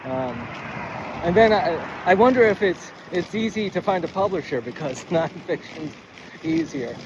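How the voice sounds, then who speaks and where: Guy with a kinda high voice doing a rambly writing lesson, stammers and goes off track, outdoor street noise behind him. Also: big pitch swings. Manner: halting, stammering, digressive, thinking aloud. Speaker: writing coach, presenter of a video series. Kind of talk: rambling informal lesson to camera.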